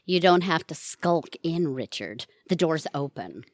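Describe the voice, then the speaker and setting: A woman acting a sarcastic, exasperated middle-aged wife in a comedy audio drama, with a sharp, dry manner. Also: sits low, sing-song, measured pace, noisy background. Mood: dry, cool.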